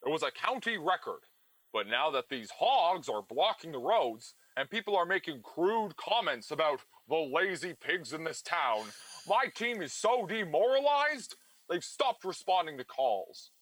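An actor playing a blustering, short-tempered small-town police chief in a comedic radio play. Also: male voice, a little high, sing-song, measured pace, fairly bright tone, exaggerated voice, rough recording. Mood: pompous.

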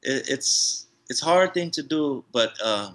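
A man with a bright, forward voice talks in a laid-back, rambling way, circling back on his words with lots of restarts and "you know, bro." He sounds like a tattoo artist chatting about his craft in a casual interview. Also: medium pitch, animated, measured pace. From Hawaii, raised in San Diego.

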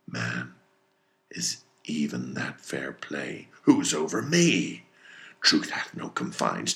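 A man voicing an obsessed, domineering old whaling captain in a storybook reading, gravelly, brooding and grandiose. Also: a bass voice, pushed and bright, heavily acted. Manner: grandiose, archaic, commanding. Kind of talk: dramatic audiobook character reading.

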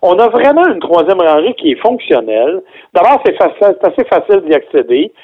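A man's voice: high-pitched, big pitch swings, brisk. A man with a Québécois accent, reviewing a car as a radio guest, explaining in a chatty way with joking asides, heard over a phone line. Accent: Québécois French. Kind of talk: casual radio chat.

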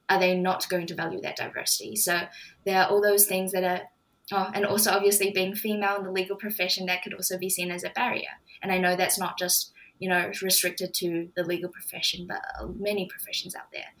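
A young woman law student speaking as a podcast guest, thoughtful and unhurried with frequent ums. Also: lowish voice, a bit bright, low-quality recording. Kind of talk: reflective podcast conversation.

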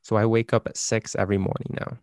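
A man joins a relaxed podcast chat as co-host, talking in chatty, looping sentences that often repeat themselves. His voice is low and gravelly, with plenty of fry. The recording is dry and close to the mic. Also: quick pace, dry recording.

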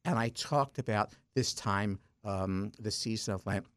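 A man's voice: fairly low pitch, measured pace, no echo. A man in his sixties, a deacon guest on a radio talk segment, chatty and self-mocking, telling stories with frequent stumbles and restarts. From South Jersey, US. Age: 60s.

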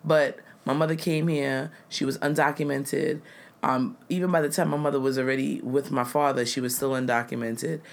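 A man from the United States co-hosting a casual chat, talking in an earnest, emphatic way that gets louder when he makes a point. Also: medium pitch, fairly even intonation, normal speed, creaky.

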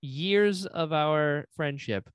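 A man's voice: on the high side, extremely slow, smooth, no echo, clean studio sound. A man of thirty with an American accent, chatting casually as a podcast host and interviewer. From North Carolina and Florida, US. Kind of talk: casual chat.

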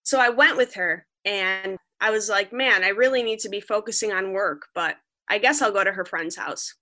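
A woman's voice: mid-range voice, normal speed, bright, forceful tone. A young woman, a freelance journalist from Western New York, earnestly recounting her reporting as a podcast guest. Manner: earnest, vivid storytelling, quoting others. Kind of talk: podcast interview, storytelling.

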